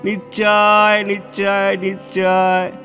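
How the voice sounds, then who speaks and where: A man playing a simple, eager rustic villager with a rural Bengali dialect in an audio play, deferential and halting, often repeating himself. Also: high-pitched, monotone, pushed and bright, soft background music, heavily acted. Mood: grateful, eager. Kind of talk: solo audio-play acting.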